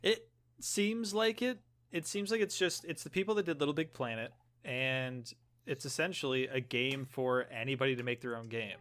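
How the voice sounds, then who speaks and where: A man's voice: mid-range voice, normal speed, fairly bright tone. Male podcast co-host, mid-range voice, rambling and explanatory, casual gamer chat.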